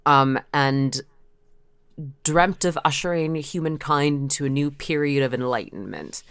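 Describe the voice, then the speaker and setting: A woman's voice: low voice, measured pace, smooth, no room sound, clean studio sound. A woman co-hosting a podcast, explaining game lore in casual conversation. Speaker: podcast co-host.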